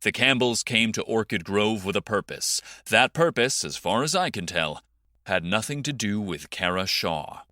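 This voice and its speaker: A man playing a formal, measured FBI special agent giving a guarded interview in a fiction podcast. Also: fairly low pitch, animated, sharp tone, no echo, clean studio sound. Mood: guarded, firm.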